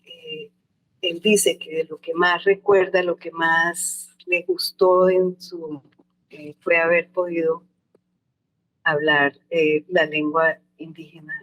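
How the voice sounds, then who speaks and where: A woman's voice: fairly low pitch, expressive, slow pace, a bit bright, highs cut off. An older woman, an anthropologist answering interview questions in a thoughtful, reflective way, often restarting and hedging as she remembers. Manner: thoughtful, with frequent restarts and hedges.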